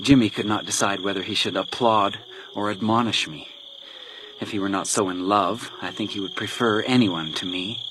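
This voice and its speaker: A man's voice: on the low side, natural voice. Man acting taunting, vengeful villain over noisy ambience. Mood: bitter, mocking. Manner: taunting, theatrical, deliberate when reading letters aloud. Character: menacing vengeful man, grieving brother, cruelly mocking and taunting. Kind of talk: detective radio drama acting. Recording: noisy night outdoor ambience with insects.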